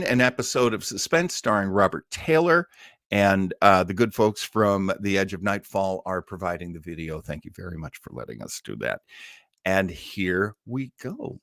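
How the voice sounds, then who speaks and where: Low-voiced, very expressive male host. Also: no room sound, clean studio sound. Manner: enthusiastic, informal. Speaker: listening party host. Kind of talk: casual livestream chat.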